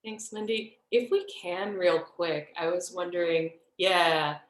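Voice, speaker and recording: A woman speaking gently and informally, guiding a group through a casual closing exercise on a video call. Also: lowish voice, slow. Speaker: production team member leading a closing ritual. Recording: roomy, echoing video call.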